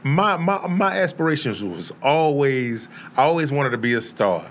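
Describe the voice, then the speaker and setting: A man's voice: medium pitch, animated, normal speed, fairly bright tone. A man hosting a casual podcast interview, talking in a laid-back, joking way. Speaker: podcast host. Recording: faint background noise.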